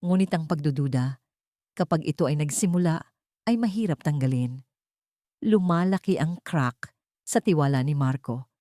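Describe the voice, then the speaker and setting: A woman's voice: low voice, expressive, normal speed, pauses often, dark tone, no room sound, studio quality. A woman reading a scripted dramatic story as its narrator.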